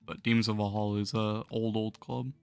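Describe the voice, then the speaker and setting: A hoarse young man losing his voice, chatting casually with viewers on a gaming livestream and chuckling often. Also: on the low side, flat delivery, brisk, a little mellow, soft background music. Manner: laid-back, chuckles while talking to chat.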